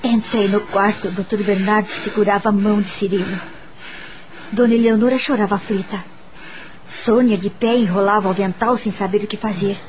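A woman's voice: medium pitch, steady tone, brisk, noisy background, close to an everyday voice. An actress with a Brazilian accent playing a sorrowful, earnest young governess who tells her story in a radio drama. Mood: sad, anxious. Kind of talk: radio drama acting and narration. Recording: loud music under the voice.

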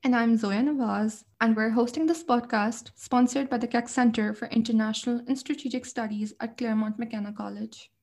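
A young woman co-hosting a student podcast, reading her scripted lines in a measured way. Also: on the high side, fairly even intonation, darkish tone. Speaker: podcast co-host.

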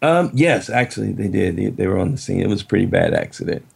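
A middle-aged man with a low, heavily gravelly voice and a husky edge. He talks slowly and reflectively, restarting phrases as he thinks aloud. He is a guest in a casual interview. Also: lively intonation. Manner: reflective, halting, many restarts.

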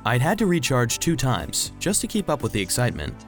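A man's voice: mid-range voice, wildly swooping pitch, fairly quick, faint music behind. A man playing a small, devoted household cleaning robot, narrating a children's audio drama earnestly. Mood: earnest, puzzled. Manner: earnest storytelling.

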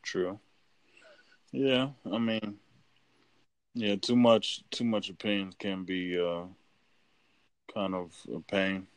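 A man's voice: on the low side, fairly even intonation, takes it slowly, long pauses, smooth, no room sound, rough recording. A man in casual chat as a podcast guest, rambling with many fillers and restarts. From United States.

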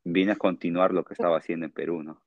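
A man with a Peruvian accent answering interview questions conversationally as a guest, explaining in a halting way and often checking with a short tag question. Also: deep voice, fairly even intonation, muffled recording, background noise. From Peru. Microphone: remote call.

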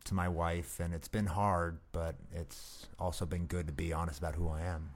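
Guest comic, 30s, very deep voice, casual and halting. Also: male voice, level tone. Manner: halting, many restarts and fillers. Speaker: guest comedian. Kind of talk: casual interview chat.

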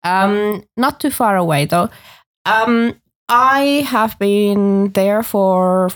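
A woman's voice: mid-range voice, extremely slow. A woman from Finland talking casually as a podcast guest. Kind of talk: casual conversation.

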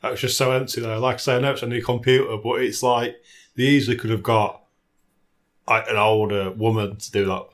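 A man's voice: mid-range voice, little pitch movement, a little fast. A man chatting casually about films and games, rambling a little as he searches for his words. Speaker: film and game reviewer in a two-person discussion. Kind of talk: casual chat.

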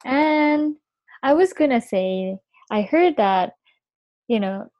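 Young japanese woman cohosting a podcast, japanese accent, slow easy pace, dry close sound. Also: high voice, lively intonation, no echo, dull audio. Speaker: podcast co-host. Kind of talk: casual chat.

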